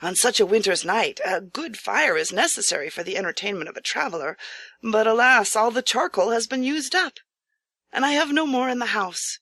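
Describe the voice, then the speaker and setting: A woman plays a proud samurai fallen into poverty and living as a farmer in a storybook tale. The voice is bright and earnest, with formal, old-fashioned courtesy. The sound is very dry. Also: on the high side, animated, normal speed, dry recording, exaggerated voice. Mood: regretful, kindly. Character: impoverished proud samurai disguised as humble farmer host. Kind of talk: audiobook character acting.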